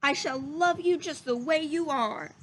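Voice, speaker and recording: Lady doing a kindly old carpenter man for a kids book, high voice, slow, fond. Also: slight background noise. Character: kindly, doting old male wood carver.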